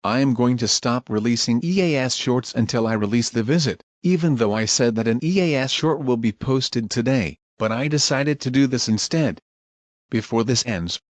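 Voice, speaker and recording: A man's voice: mid-range voice, no room sound. A young man giving a scripted announcement to his viewers as a channel creator.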